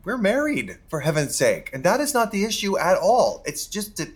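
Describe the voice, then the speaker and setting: An actor playing a flustered husband who stammers while defending himself. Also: male voice, sits high, very animated, normal speed, husky, exaggerated voice.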